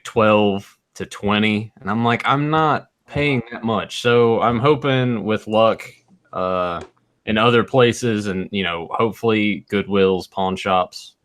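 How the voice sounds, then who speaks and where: A man co-hosting a casual podcast chat, speaking haltingly and often correcting himself mid-sentence. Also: fairly low pitch, a bit bright. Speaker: podcast co-host. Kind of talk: casual chat about collecting and movies.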